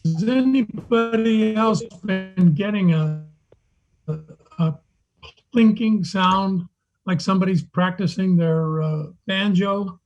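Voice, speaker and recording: A man reminiscing casually in a halting, hesitant way over a video call. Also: sits high, darkish tone, faint music behind. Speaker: club member. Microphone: video call microphone. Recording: dull lo-fi video call audio.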